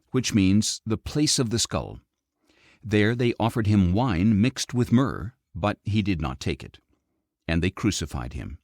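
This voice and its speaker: A man reading scripture as an audiobook narrator, in a measured, expressive storytelling delivery. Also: lowish voice, no echo, clean studio sound.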